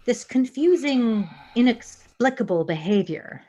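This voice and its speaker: A woman's voice: fairly high pitch, expressive, dark tone. A woman playing a cold, logical female alien first officer in a science fiction audio drama, speaking formally and precisely. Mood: coolly threatening. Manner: formal, measured, precisely worded.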